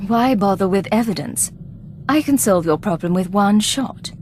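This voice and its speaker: A woman's voice: medium pitch, lively intonation, moderate pace, darkish tone, a little hiss or hum, lightly acted. An actress playing a cool, blunt female Imperial agent in a video game, curt and commanding. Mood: cool, confident.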